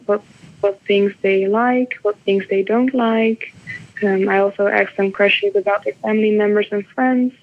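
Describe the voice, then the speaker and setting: A young woman with a Dutch accent speaks in a steady, level tone, pausing often with hesitant fillers. Her voice has a gravelly edge. It comes through a thin, phone-like line. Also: medium pitch. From Netherlands. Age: young adult. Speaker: doctoral researcher. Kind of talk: interview answers.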